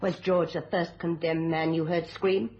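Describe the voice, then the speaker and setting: An actress playing a curt, scornful, greedy British schemer in a radio thriller. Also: female voice, fairly low pitch, flat delivery, unhurried, a little mellow. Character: cold, scheming, greedy femme fatale. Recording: dull lo-fi radio drama recording.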